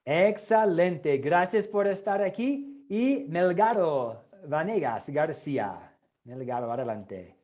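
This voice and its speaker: A man leads an online Bible class as its pastor and teacher. He greets each student by name with courtesy, at a slow and measured pace. His voice sits a little high. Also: sing-song, darkish tone. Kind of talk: hosting an online class roll call.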